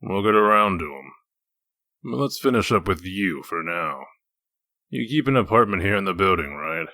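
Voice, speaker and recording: Casting: male actor as hard-boiled wolf detective, very low, forceful edge, dry delivery for comic drama. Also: animated, moderate pace, dry recording, exaggerated voice. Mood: dry, probing. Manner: needling, dry, interrogating. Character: gruff, sardonic male wolf detective in human form. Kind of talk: comic dub dramatic acting.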